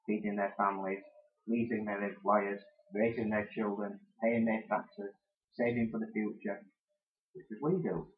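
Hoarse preacher on a tinny line. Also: male voice, lowish voice. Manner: clears his throat often. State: hoarse, losing his voice. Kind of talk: sermon. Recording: muffled phone-quality recording.

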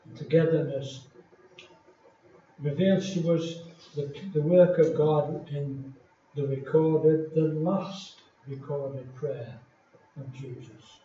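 Old english pastor preaching, emphatic with long pauses, a bit high voiced, sounds distant and muffled. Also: male voice, steady tone, mellow, slight background noise. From England. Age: older. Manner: slow, deliberate, emphatic preaching with many pauses. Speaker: retired pastor. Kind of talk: sermon. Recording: echoey hall from far off, dull lo-fi.

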